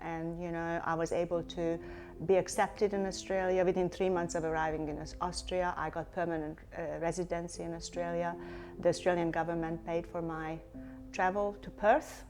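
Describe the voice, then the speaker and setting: Reflective Hungarian-born woman, lowish voice. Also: level tone, moderate pace, a little mellow, faint music behind. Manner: reflective, many fillers and restarts. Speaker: company CEO, guest. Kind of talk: interview answers.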